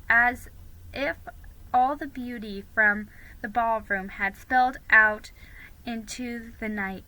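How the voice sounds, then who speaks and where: A woman giving an expressive, dramatic storybook reading as an amateur narrator. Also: on the high side, low-quality recording.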